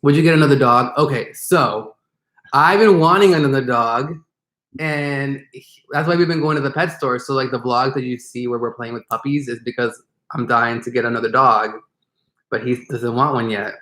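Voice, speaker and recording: A man's voice: mid-range voice, moderate pace, fairly bright tone, roomy sound. A man chatting casually on a live stream, reading out viewer questions.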